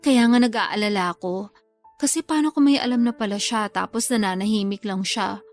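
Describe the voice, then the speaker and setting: A woman's voice: medium pitch, moderate pace, soft background music, lightly acted. An actress playing an anxious, coy young mother who cheats on her partner, in casual Tagalog radio drama dialogue. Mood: worried, guilty.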